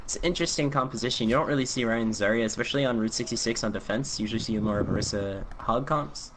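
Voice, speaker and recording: A young man calls the action of an online team match in a loose, chatty way. He leans on "like" as he talks. His home mic carries a clear layer of noise under the voice. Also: mid-range voice, fast. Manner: loose, filler-heavy, lots of "like". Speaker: esports caster. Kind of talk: casual game commentary.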